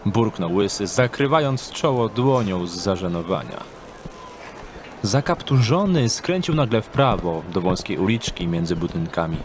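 A man's voice: on the low side, lively intonation, moderate pace, slight background noise. A man reading scripted narration for an audio drama as its storyteller. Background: background music and street ambience.

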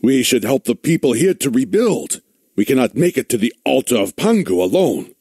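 An actor playing an earnest, resolute warrior commander in a dramatic video game cutscene. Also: male voice, mid-range voice, very animated, normal speed, slightly rough, dry recording, clean studio sound. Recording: loud music behind.

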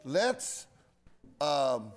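An older pastor speaks from the pulpit in a church, gathering prayer requests. His pace is slow and deliberate, and he pauses with fillers as he goes. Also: medium pitch, big pitch swings. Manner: unhurried, halting with fillers. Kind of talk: leading prayer requests in a service.

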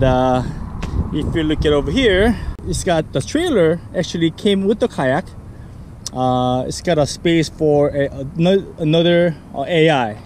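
Man, 30s, vlogger; slow, slightly high, excited, handheld action cam outdoors with steady background noise. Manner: upbeat, eager, loose with fillers. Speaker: fishing vlogger. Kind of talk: casual vlog talk to camera. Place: in a pickup truck, then an outdoor parking lot. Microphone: action camera on dash, then handheld at arm's length.

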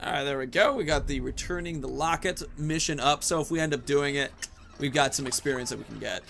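A man's voice: fairly high pitch, a little fast, vocal fry, fairly bright tone, a little hiss or hum. A man in his thirties giving casual, playful gameplay commentary, rambling and talking to himself as he plays. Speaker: gaming video host.

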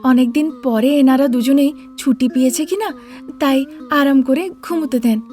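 A woman playing a gentle, respectful young daughter-in-law in a cartoon, polite and placating in manner. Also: high-pitched, moderate pace, soft background music, natural voice. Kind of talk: cartoon dialogue.

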